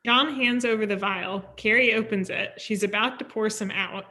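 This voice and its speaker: A woman narrates a screenplay at a table read. Her voice is bright and forceful at a medium pitch, and she delivers scene headings and actions as scripted narration. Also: normal speed. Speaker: stage directions reader.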